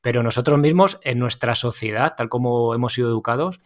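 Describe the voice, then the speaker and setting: A man of ordinary pitch hosts a relaxed interview over a video call. He asks long, meandering questions in an informal way and circles back as he goes. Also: a little fast. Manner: informal, apologetic, rambling questions. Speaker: podcast host. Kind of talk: casual interview.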